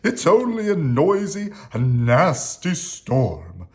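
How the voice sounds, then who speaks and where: Storybook owl, done by an adult reader: kindly, bossy, reassuring, exclaiming, highly animated pitch. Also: slow. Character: kindly, bossy owl, comforting but jumpy.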